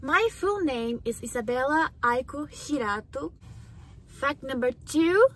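Teenage girl, Brazilian Portuguese accent, slightly high voice, slow and searching for words, recorded in a car. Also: expressive. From Japan. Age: teens. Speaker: vlogger. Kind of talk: casual chat to camera. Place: parked car, indoors. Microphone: phone camera on dashboard.

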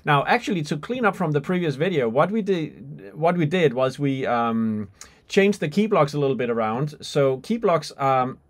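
A man's voice: on the high side, lively intonation, moderate pace. A man in his forties giving an unscripted tutorial demonstration, informal and somewhat hesitant as he thinks aloud. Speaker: tutorial presenter. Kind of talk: unscripted software and hardware demonstration. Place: small indoor room with skylight window.

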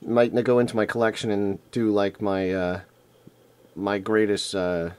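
A man's voice: lowish voice, level tone, moderate pace, a little mellow, clean tone. A man losing his voice, talking casually and rambling with lots of fillers as he shows off retro games on a table indoors. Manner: rambling, filler-heavy, enthusiastic. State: losing his voice, throat clearing. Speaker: retro game collector vlogger. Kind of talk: casual unboxing vlog. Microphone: camera mic off-screen, hands on table.

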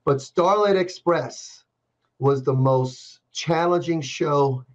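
A man's voice: a little high, takes it slowly. Older man hosting over a dull video call. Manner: rambling with frequent restarts. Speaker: podcast host. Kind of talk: casual interview. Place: dark indoor room.

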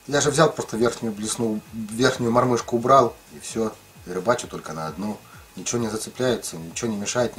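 Low-voiced man, rambling fishing talk. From lived in Ukraine, now in the Russian far north. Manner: rambling, many fillers and restarts. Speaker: ice fishing vlogger. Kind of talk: casual explanatory voice-over.

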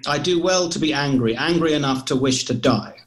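A man's voice: on the high side, a little fast, natural voice. A man in his thirties playing an angry, despairing prophet in a dramatised scripture reading. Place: indoor room, video call.

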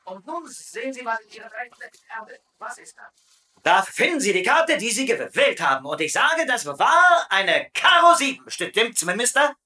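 A man's voice: very high voice, big pitch swings, normal speed, pushed and bright, full character voice. A man voicing a cheeky prisoner of war who hosts a camp show in a German comedy dub, with stammering showman patter. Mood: showy.

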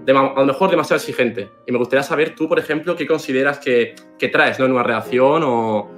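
Fast-talking self-assured man. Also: medium pitch, fairly bright tone, soft background music. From Spain. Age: 20s. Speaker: dating contestant. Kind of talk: casual self-introduction.

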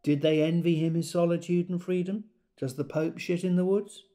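A man's voice: fairly high pitch, fairly even intonation, normal speed, mellow, soft background music, close to an everyday voice. An actor playing a wry, gossipy man telling anecdotes in an audio drama monologue. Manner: wry, storytelling.

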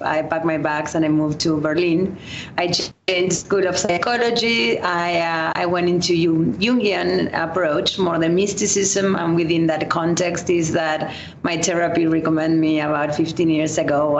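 A woman in her thirties from Argentina, a guest in an interview, speaking thoughtfully with fillers and restarts. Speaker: guest entrepreneur. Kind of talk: conversational interview. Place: studio table in a coworking space. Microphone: boom mic on the table. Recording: echoey, dull, faint rumble.

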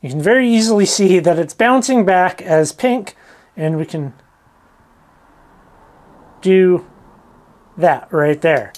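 A man's voice: sits high, takes it slowly, pauses often, darkish tone. A man in his thirties gives an informal, self-deprecating explainer as a channel host, talking to camera. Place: cluttered indoor workshop. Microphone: lavalier clipped on shirt.

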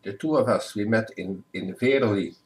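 Low-voiced older man, measured. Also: low-quality recording. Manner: careful, measured, with self-corrections. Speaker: priest, guest. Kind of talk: relaxed interview answers. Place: home living room. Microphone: webcam at desk.

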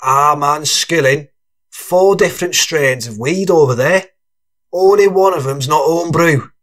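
A man's voice: fairly high pitch, measured pace, fairly bright tone. A man playing a cheerful, boastful drug dealer in an audiobook, slangy and teasing. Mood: proudly boasting. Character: cheerful, boastful, cackling male drug-dealing pal. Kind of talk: full-cast audiobook character acting.

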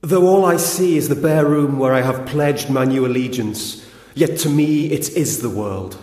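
An actor in a radio play as a humbled young initiate, earnest and submissive, in an echoing hall. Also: male voice, mid-range voice, unhurried, a little mellow, natural voice. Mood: humbly earnest.

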